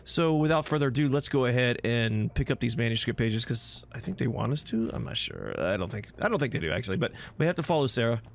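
A man's voice: mid-range voice, expressive, quick pace, vocal fry, hardly any pauses, slight background noise. A man giving casual, rambling walkthrough commentary on a video game, talking to himself and to viewers. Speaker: gameplay host.

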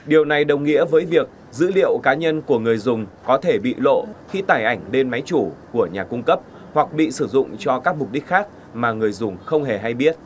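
A man's voice: mid-range voice, expressive, brisk, slight background noise. A man narrating a news report from a script. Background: faint music.

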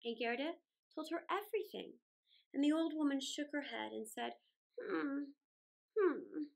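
A middle-aged woman reading a children's story aloud indoors, with deliberate, dramatic phrasing and drawn-out words. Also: high-pitched, creaky. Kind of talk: expressive read-aloud storytelling. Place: small indoor room, plain wall.

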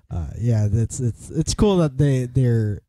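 A man's voice: mid-range voice, animated, slow, warm tone, no echo, clean studio sound. A man co-hosting a podcast, chatting casually about a TV show, laughing often and repeating words as he restarts. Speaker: podcast co-host. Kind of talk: casual review chat.

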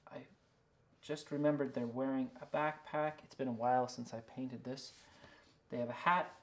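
A man's voice: mid-range voice, steady tone, moderate pace. A man, casually showing and demonstrating his work as an illustrator, musing to himself as he goes. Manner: musing, talks to himself while working. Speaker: illustrator and picture book author. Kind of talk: casual show-and-tell and drawing demonstration. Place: indoors in a small studio with books and records.

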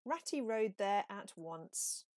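A woman reading a children's storybook aloud in a measured, expressive way. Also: mid-range voice, dry recording, studio quality. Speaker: storybook reader. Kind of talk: scripted children's story narration.

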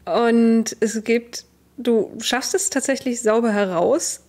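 A woman's voice: fairly high pitch, slow, a little mellow. Young woman game master narrating. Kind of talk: narrating a tabletop role-playing game. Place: indoors, online video call.

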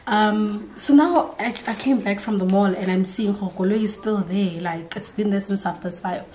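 A woman's voice: medium pitch, normal speed, a little mellow, slight background noise. A young woman, chatting to the camera at home and telling a story. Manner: chatty storytelling, switching into Setswana phrases. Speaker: vlogger. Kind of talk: casual chat to camera. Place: home kitchen and living room indoors. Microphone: camera on tripod nearby.

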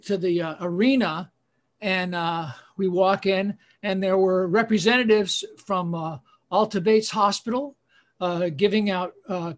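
A man in his sixties doing a rambling, self-deprecating stand-up routine over a webcam video call, often halting with fillers. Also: high voice. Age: 60s. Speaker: stand-up comedian.

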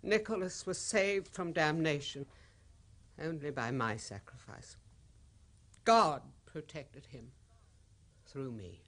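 An actress playing a stern, devout old grandmother in a 1970s radio drama, speaking gravely and imperiously in measured theatrical phrases. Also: low voice. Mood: grave, foreboding. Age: older.

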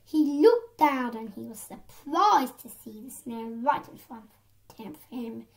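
A young girl tells a children's story. Her voice is very high, and she reads at a slow pace. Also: lively intonation, vocal fry, a little mellow.

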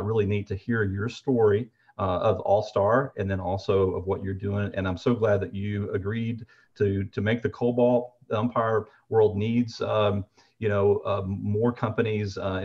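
A man's voice: lowish voice, flat delivery, normal speed. A middle-aged American man chatting appreciatively on a video panel, with hesitant but emphatic phrasing. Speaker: guest, umpire gear retailer. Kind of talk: casual endorsement on a video panel. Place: furnished room at home. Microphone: computer mic on a video call. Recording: slightly dull video-call audio.

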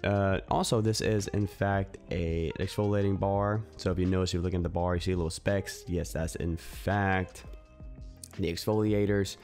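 A man's voice: deep voice, fairly even intonation, normal speed, a little mellow, faint music behind. A young man in casual talk to camera, reviewing a soap in an easygoing, informal way with lots of fillers, recorded in a small room on a desk mic. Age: young adult. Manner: chatty, informal, many fillers and asides. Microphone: desk microphone in front.

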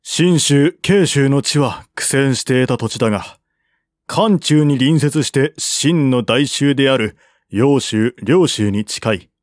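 A man voicing a shrewd, calm military strategist of ancient China in an audiobook, formal and reasoned. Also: medium pitch, takes it slowly, no room sound, studio quality, natural voice. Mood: sober reasoning.

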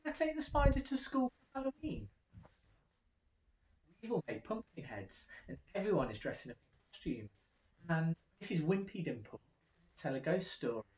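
An eager little storybook tomcat, acted out by a man, pitched well up and swinging widely. He pleads and exclaims with excitable energy in a roomy space. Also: moderate pace, pauses often. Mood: eager, pleading. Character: eager, excitable young male cartoon cat. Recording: roomy indoor echo.

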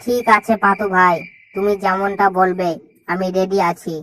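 A woman voicing a naive, timid monkey sidekick, eager and deferential, in an animated cartoon. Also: mid-range voice, normal speed, background noise, close to an everyday voice. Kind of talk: cartoon voice acting.

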